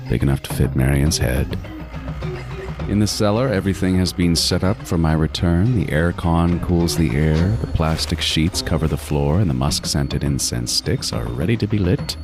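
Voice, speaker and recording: An extremely deep male voice , an actor's, plays an obsessive, meticulous serial killer telling his own horror story. He is deliberate, coldly gloating and menacing. Music runs clearly underneath the scripted narration.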